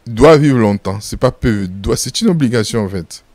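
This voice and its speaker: A pastor from Congo, answering interview questions in an emphatic preaching style, full of repeated phrases and rhetorical questions. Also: male voice, medium pitch, expressive, normal speed, a little mellow, no room sound. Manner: firm and insistent.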